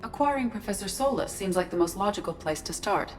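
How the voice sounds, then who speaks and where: A woman voicing a calm, formal shipboard artificial intelligence in a video game, with measured, precise and detached delivery. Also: mid-range voice, a little hiss or hum, natural voice. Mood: calm, neutral. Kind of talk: scripted video game dialogue.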